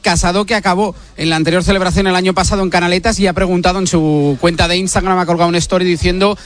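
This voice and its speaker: A man's voice: high voice, fairly even intonation, very fast. A man reporting live from the street outside a stadium, narrating what he sees and talking to fans. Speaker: field reporter.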